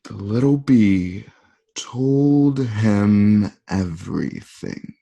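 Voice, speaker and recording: Man acting slow, menacing Roman emperor. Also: on the low side, creaky, warm tone, full character voice. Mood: wounded, threatening. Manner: slow, theatrical, pausing between phrases. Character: menacing, cruel young Roman emperor, coldly threatening. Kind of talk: amateur radio play acting.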